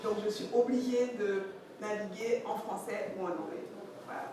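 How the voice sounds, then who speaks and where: A woman's voice: medium pitch, fairly even intonation, normal speed, dark tone, far from the mic. A woman whose first languages are Yoruba and Fon gives a conference talk, speaking hesitantly with many fillers and restarts. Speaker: speaker at a masterclass. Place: conference room. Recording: echoey hall, from afar, faint noise.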